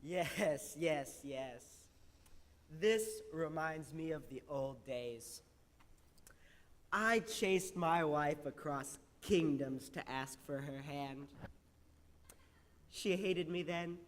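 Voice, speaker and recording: A man as a slow, pompous old king, echoing. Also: fairly high pitch. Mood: nostalgic, pompous. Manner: pompous, rambling. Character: doddering, pompous old king, fond of proclamations. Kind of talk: stage comedy fairy-tale acting. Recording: reverberant stage hall.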